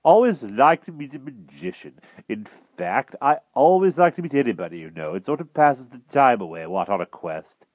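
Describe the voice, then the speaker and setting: A man voicing a flustered, excitable, old-fashioned gentleman king in a storybook, politely exclaiming with posh verbal tics. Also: mid-range voice, moderate pace, hardly any pauses, no room sound, dull audio, voice pushed up, close to an everyday voice. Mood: polite, chatty.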